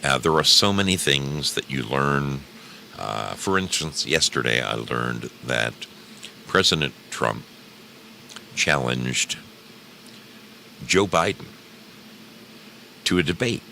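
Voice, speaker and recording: A man's voice: very deep voice, lively intonation, slow, creaky, long pauses, bright, forceful tone, a little hiss or hum. A man hosting an online radio program in a rambling, casual monologue, joking and theatrical, repeating phrases for emphasis. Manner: jokey, theatrical, emphatic repetition. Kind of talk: rambling casual monologue to live stream audience.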